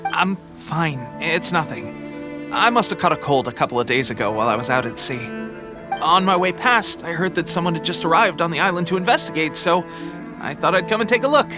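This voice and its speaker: An actor playing an eager young resistance soldier in an anime game, friendly and putting on a brave face while unwell with a cold. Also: male voice, a little high, animated, fairly quick, close to an everyday voice. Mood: casual, brushing it off. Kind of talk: English anime game voice acting. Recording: music behind.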